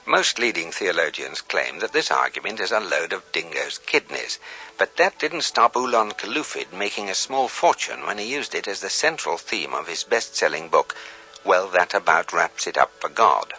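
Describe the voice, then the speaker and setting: A man's voice: deep voice, normal speed, soft background music, heavily acted, rough recording. A man playing a dry, erudite guidebook narrator in a radio comedy, with an English accent. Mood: dryly amused. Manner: deadpan, erudite.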